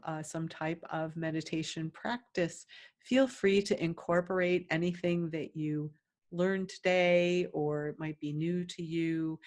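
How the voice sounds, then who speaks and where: A woman guides a meditation in a fairly low voice. Her delivery is very slow, with long silences between phrases. She gives her instructions gently and soothingly, as a teacher would.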